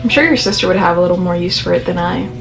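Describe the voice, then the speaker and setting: A hoarse woman voicing a guarded young wife who answers coolly, over background music. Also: fairly low pitch, animated, rapid-fire.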